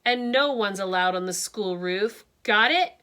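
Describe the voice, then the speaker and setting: Woman doing a sneering, shouting schoolboy bully. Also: medium pitch, expressive, measured pace, smooth. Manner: sneering, drawn out then shouting.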